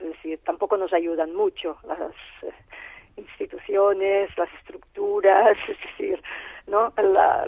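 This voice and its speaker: A woman's voice: mid-range voice, vocal fry, breathy edge, no echo. A woman guest who works as a Bible translator, speaking by phone in a radio interview and explaining her points in a thoughtful way that often repeats and trails off. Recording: tinny phone line.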